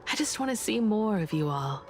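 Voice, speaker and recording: A woman voicing a gentle, caring grown woman companion in a video game, affectionate and tender in manner. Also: mid-range voice, sing-song, brisk, faint music behind. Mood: tender, wistful.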